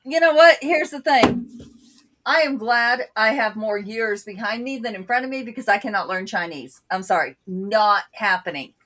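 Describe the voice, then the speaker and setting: Blunt woman, native Bulgarian speaker, fairly high voice. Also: normal speed, fairly bright tone. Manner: blunt, outspoken storyteller. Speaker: co-host. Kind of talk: casual chat.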